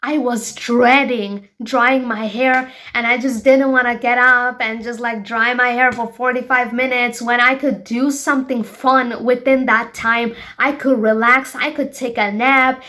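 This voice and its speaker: A woman's voice: fairly high pitch, flat delivery, unhurried, hardly any pauses, bright, forceful tone. A woman in her twenties from Bangladesh hosting her own show, talking frankly and emphatically to her viewers. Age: 20s. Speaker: show host. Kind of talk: personal vlog monologue.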